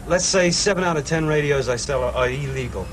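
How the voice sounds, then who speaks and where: A man's voice: on the high side, brisk, poor audio. A man giving casual, unbothered answers as a dealer being interviewed. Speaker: CB radio dealer. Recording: clear street and ambient noise.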